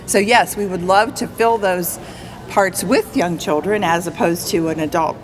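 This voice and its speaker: Low-voiced American woman, clearly noisy recording. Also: measured pace. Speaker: guest, community theater player. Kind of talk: casual interview talk.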